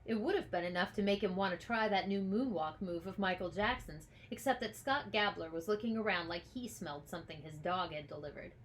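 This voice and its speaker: Female storybook narrator, medium pitch, expressive scripted read, author reading aloud. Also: a little fast, few pauses, slight background noise. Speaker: author reading their own book aloud.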